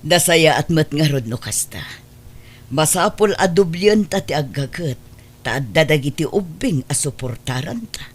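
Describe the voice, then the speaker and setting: Woman acting a low-pitched, lively Ilocano mother. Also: moderate pace, husky. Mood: practical. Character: loving, hopeful adoptive mother, well-off madam. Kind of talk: Ilocano audio drama acting.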